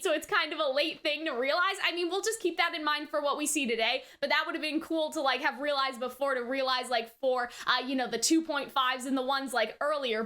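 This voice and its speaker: A woman hosting a reaction video, chatting casually and excitably, often exclaiming and peppering her talk with filler words and check-in questions. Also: high-pitched. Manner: frequent use of "like" and tag questions. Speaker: anime reaction video host.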